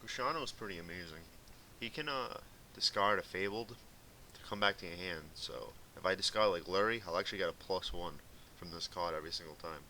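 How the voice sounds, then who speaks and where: A young man with a light Boston accent talks in a low voice with a bright, forceful edge. He rambles in a laid-back way with fillers, as if giving casual commentary on his game at home. A faint hiss sits under the recording. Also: brisk, poor audio. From Massachusetts. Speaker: gaming video commentator. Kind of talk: casual commentary over gameplay.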